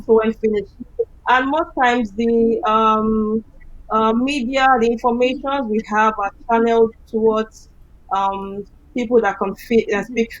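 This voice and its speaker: A woman lays out her campaign themes as a candidate, pausing often on fillers. Her voice is a little high, and the recording sounds dull and lo-fi. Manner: halting, many um fillers. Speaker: election candidate. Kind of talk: panel answer.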